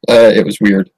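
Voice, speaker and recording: A man's voice: fairly low pitch, breathy edge, poor audio. A man hosting a livestream and chatting casually while playing a game, giggling and reacting to the dialogue. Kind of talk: casual gameplay commentary.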